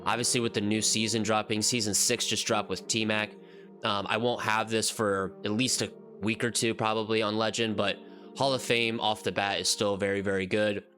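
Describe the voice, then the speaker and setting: Male gaming host with a head cold. Low, bright, slightly nasal voice. Loose, rambling commentary, soft music underneath. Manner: relaxed, rambling, frequent ums and restarts. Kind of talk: casual game commentary walkthrough.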